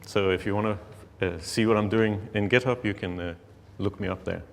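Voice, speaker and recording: A man from Denmark, a native Danish speaker, giving a casual conference talk with many pauses and fillers. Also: fairly low pitch, creaky. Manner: relaxed, hesitant, many fillers. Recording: long hall reverb.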